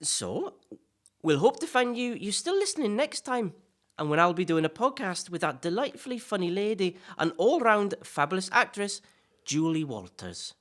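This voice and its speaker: Woman playing a lively, cheeky male podcast host. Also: medium pitch, moderate pace. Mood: cheery sign-off. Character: chatty, cheeky male celebrity actor hosting a podcast. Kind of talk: audio play dialogue in interview form.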